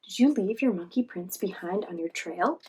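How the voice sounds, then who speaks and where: A woman voicing a calm, caring female friend in a children's storybook, guiding gently. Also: mid-range voice, slightly rough. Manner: gentle, slow guiding delivery.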